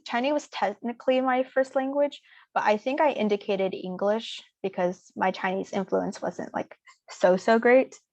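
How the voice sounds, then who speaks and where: A young woman speaking informally as a student presenter, answering audience questions in a relaxed way with many "um"s and "like"s. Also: a little high, no echo. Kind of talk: casual Q&A seminar.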